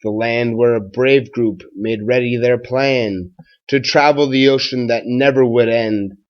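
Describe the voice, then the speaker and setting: A man who is a schoolteacher doing a read-aloud for children and talking to pupils in a measured, simple way. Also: mid-range voice, steady tone, highs cut off.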